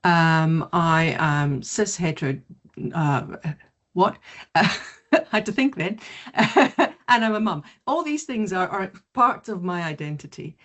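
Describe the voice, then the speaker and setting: Woman from South Africa, low voice, lively presenter, video call mic. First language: not English. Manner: lively, quick, laughs easily. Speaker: mindfulness teacher. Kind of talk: online presentation.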